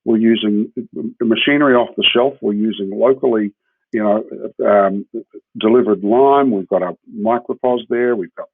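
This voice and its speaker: A man's voice: on the low side, moderate pace, dry recording. A man with a New Zealand accent explaining his work informally as an interview guest, often checking in with the listener. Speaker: podcast guest, hemp builder. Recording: muffled, phone-like narrowband line.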